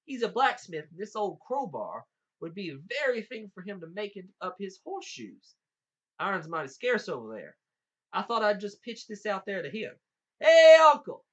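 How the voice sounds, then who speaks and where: A man's voice: sits high, big pitch swings, normal speed, sharp tone, pitched above the performer's own voice, exaggerated voice, rough recording. A man voicing a cocky young trickster boy in a folktale, boasting in a folksy drawl with an Appalachian accent. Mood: boastful. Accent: Appalachian American. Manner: drawling, folksy bluffing, hollering calls.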